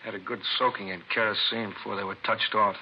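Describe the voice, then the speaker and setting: Male actor as methodical arson investigator for vintage radio drama, somewhat low, matter-of-fact, dull recording with faint noise. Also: steady tone, fast talker, close to an everyday voice. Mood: explanatory. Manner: matter-of-fact, short explanatory phrases. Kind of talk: 1950s radio crime drama acting.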